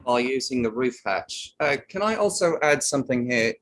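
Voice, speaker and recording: A man's voice: mid-range voice, lively intonation, normal speed, a bit bright, close to an everyday voice. A man playing a matter-of-fact, slightly defensive boat crewman giving evidence in a table read of an inquiry play.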